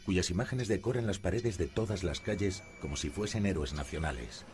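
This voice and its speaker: A man narrating a documentary in the first person, in a calm and measured way. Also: deep voice. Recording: music playing behind.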